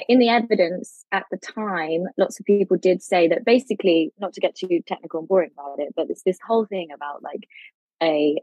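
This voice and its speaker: A woman guest on a podcast explaining legal points quickly, with many fillers and restarts. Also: on the low side, darkish tone, slightly rough, dry recording, dull audio. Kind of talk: podcast discussion.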